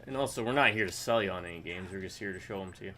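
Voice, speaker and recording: A man's voice: deep voice, racing, pushed and bright, soft background music. Rambling man chatting over gameplay. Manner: rambling, full of "like" and "uh". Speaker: gaming channel host. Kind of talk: casual co-op gameplay chat.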